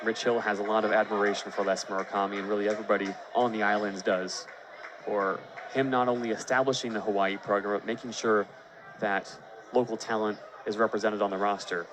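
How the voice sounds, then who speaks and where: A man's voice: lowish voice, little pitch movement, a little fast. A man calling live sports on the radio as a play-by-play announcer, fluent and descriptive, lifting his voice on big plays. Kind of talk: live sports commentary for baseball and volleyball.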